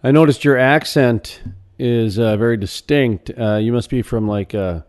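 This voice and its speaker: Guy hosting a radio interview, easygoing, jokey, middle pitch. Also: moderate pace, darkish tone. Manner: casual, joking questions. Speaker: radio show host. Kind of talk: interview questions.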